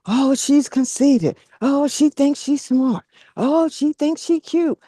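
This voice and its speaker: A woman in a casual, reflective chat, speaking earnestly in short phrases with pauses mid-sentence. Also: high-pitched, warm tone, dry recording, clean studio sound.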